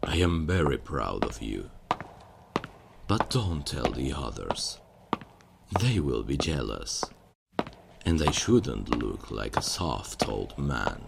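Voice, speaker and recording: A man acting a kindly, fatherly old archmage in a fantasy ASMR roleplay, speaking in a gentle, solemn, measured way with a gravelly, husky voice. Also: a bass voice, sing-song, very noisy, full character voice. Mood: fond, proud. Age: older.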